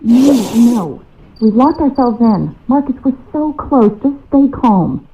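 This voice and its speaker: An actress playing a tense, conspiratorial, controlling treasure-hunting schemer in a video game, heard through a narrow filter. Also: female voice, on the high side, animated, slow, warm tone, slight background noise. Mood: tense, alarmed. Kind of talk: video game dialogue acting. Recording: thin phone-like narrow band.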